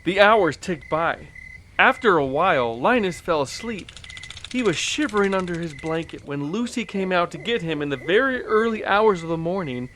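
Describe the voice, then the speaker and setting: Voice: medium pitch, lively intonation, normal speed, a bit bright, slight background noise. A narrator reading a children's storybook in a scripted read-along style. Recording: music bed under the voice.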